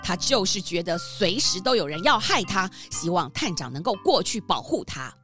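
A woman narrating a scripted children's story in an expressive, storytelling manner. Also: on the low side, normal speed, faint music behind.

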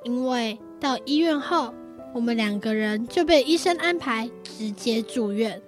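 A teenage girl plays an affectionate, bossy big sister in a children's radio play. She speaks high with a Taiwanese Mandarin accent, over faint music. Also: a little slow, natural voice. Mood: reflective. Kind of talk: children's radio drama acting.

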